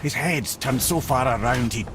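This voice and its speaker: An actor with a Scottish accent playing a witty old talking head, a wry, plain-spoken and chatty advisor in a video game. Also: male voice, medium pitch, moderate pace, very noisy, lightly acted. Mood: wry, concerned.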